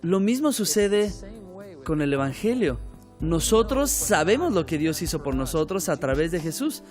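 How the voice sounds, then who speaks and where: A man with a notably high voice tells his life story in an earnest, reflective way, now and then shifting into a preacher's tone. He grew up in Ecuador. Soft music plays faintly beneath him. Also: very animated, normal speed. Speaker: missionary's son telling his story. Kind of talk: documentary interview testimony.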